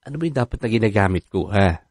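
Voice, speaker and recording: A man's voice: on the low side, animated, fast talker, darkish tone, husky, no room sound, studio quality. A man playing a sheepish, placating stay-at-home husband in a radio drama. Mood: weary, grumbling. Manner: hesitant, placating. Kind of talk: Filipino radio drama acting.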